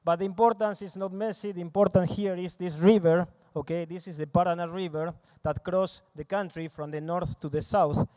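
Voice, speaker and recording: A man in his fifties with an Argentine Spanish accent speaks at a conference, his voice mellow, smooth and slightly high. He talks in a halting, friendly way, restarting phrases and repeating words. He is heard through the PA of a large hall. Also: steady tone. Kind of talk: conference presentation.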